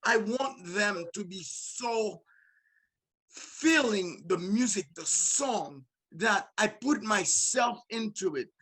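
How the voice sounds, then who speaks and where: A man's voice: sits high, sharp tone, husky, low-quality recording. A man with a Haitian accent, a musician guest talking earnestly in casual conversation and often restarting and repeating words.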